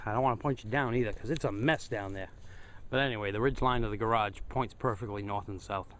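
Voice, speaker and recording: A man's voice: fairly low pitch, fast talker, fairly bright tone. A man giving a casual hands-on demonstration to camera, plain-spoken and thinking aloud as he works. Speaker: host of a hobby radio video.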